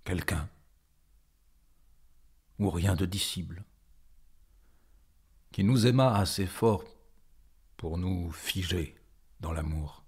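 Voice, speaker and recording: A man reciting poetry in a dramatic style, phrasing deliberately with frequent pauses and a husky voice. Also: low-pitched. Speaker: poetry performer.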